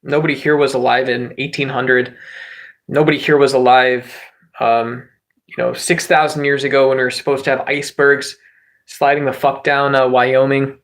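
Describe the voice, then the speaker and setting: A man hosting a livestream, chatting with viewers in a rambling, joking way and swearing freely; he says his voice can turn high and squeaky. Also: little pitch movement, normal speed. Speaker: livestream host. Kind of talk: casual livestream chat with viewers.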